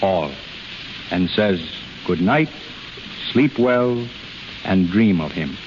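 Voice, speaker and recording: Intense low-voiced healer, old radio. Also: male voice, lively intonation, a little mellow, a little hiss or hum. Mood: wry, gentle. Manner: halting, emphatic, repeats phrases. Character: intense, commanding, tender male healer to an invalid woman. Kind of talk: 1930s radio drama acting. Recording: muffled 1930s radio transcription, music behind.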